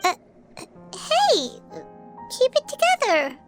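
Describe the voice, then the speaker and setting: A woman voicing a tiny, childlike floating companion in a video game, squeaky, excitable, curious and chatty. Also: takes it slowly, over music, heavily acted. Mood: alarmed. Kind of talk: cartoonish video game voice acting.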